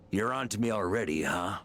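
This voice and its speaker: An actor playing a bold, easygoing knight grandmaster in a video game, confident and informal, a rousing leader. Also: male voice, on the low side, background noise, full character voice. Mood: wry, resolute. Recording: loud music under the voice.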